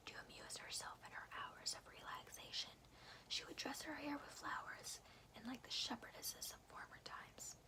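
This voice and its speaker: A woman softly and carefully whispering in a hushed, breathy ASMR style, as a storyteller reading to the listener. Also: on the high side, poor audio. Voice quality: breathy whisper with some creak. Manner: soft, careful, hushed delivery.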